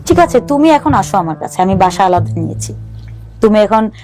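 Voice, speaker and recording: A woman, telling her life story as a talk show guest in an earnest, halting way, often restarting her sentences and mixing in English words. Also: medium pitch, big pitch swings, mellow, soft background music.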